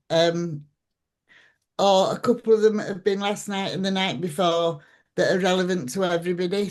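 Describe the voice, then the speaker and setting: Woman chairing a local hustings, loose and informal, mid-pitched voice. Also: darkish tone. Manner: informal, hesitant with fillers. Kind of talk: chairing a public meeting.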